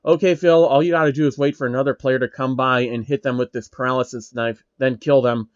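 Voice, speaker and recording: A man voicing a calm male guild leader who speaks steadily and can turn quietly threatening. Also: mid-range voice, fairly quick, clear, resonant tone, no echo, muffled recording, natural voice.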